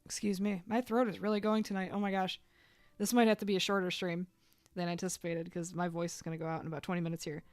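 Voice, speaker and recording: Chatty woman streamer, smooth low voice. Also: little pitch movement, fast talker, darkish tone, dry recording. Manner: rambling, chatty, many "like"s. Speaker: artist streaming live. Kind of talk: casual live-stream chat while drawing. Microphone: desk microphone.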